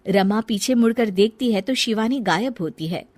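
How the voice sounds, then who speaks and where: A woman's voice: medium pitch, normal speed, a little mellow, no room sound. A woman narrating a scripted family folk tale as a storyteller.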